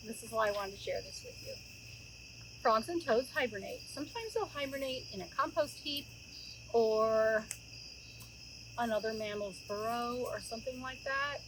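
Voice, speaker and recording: Middle-aged woman, fairly high voice, informal children's story-time host, talks directly to listeners, outdoor recording, noticeable insect sounds. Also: lively intonation, normal speed, noisy background. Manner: conversational, addresses listeners with questions. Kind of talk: chatty, informal talk to young viewers. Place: outdoors by a campfire in woods. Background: crickets and insects, outdoor ambience.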